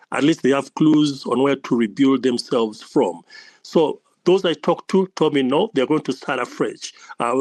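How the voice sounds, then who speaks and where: A man reports in a measured, hesitant way, filling pauses with "uh". His voice sits at a middle pitch. The sound is dry and close. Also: no room sound. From Uganda. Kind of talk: interview answer.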